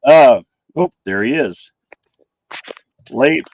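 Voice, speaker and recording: A man's voice: mid-range voice, expressive, very slow, a little mellow, husky, no echo. Casual male host, scratchy with a cold. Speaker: host of a panel livestream. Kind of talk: casual chat, hosting.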